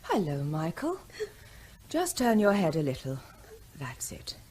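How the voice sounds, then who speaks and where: An actress playing a calm woman doctor who reassures a bitten child in an old radio drama. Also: low-pitched, measured pace, breathy edge, full character voice. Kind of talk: 1970s radio drama acting.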